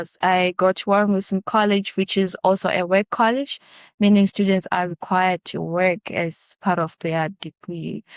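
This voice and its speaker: A young woman from Zimbabwe, a college student guest, answering interview questions in a relaxed way with frequent fillers. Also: mid-range voice, clear, resonant tone, no room sound. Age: young adult. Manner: relaxed, many fillers, laughs lightly.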